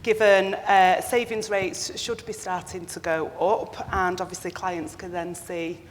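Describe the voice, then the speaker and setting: A woman with a fairly low voice moderates a panel, brisk and to the point as she steers questions. Her voice rings with long hall reverb over a faint background hiss. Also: level tone. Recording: echoey hall, faint noise.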